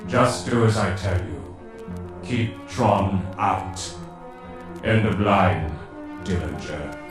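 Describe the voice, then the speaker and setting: A man playing a menacing master computer on a read-along record, with a metallic, booming, commanding voice. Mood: cold menace. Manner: slow, imperious. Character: menacing male master-control computer, cold, commanding, domineering. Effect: metallic echoing computer voice treatment. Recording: echo-drenched, distant, music underneath.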